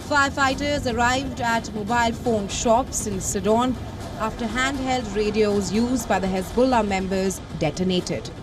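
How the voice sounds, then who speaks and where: A woman with a high voice narrates a scripted news report. Her delivery is formal and measured, and music plays clearly behind her. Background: music bed.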